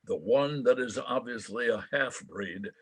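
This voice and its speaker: A man playing the announcer of a radio western, narrating in a measured, grand storytelling style. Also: medium pitch, breathy edge, poor audio. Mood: dramatic, grand.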